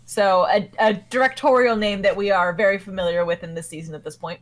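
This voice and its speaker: A woman co-hosting a casual podcast and walking through an episode's plot in a loose, chatty way. She laughs often as she talks, and her voice sits at an ordinary middle pitch. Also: steady tone, a little fast. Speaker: podcast co-host.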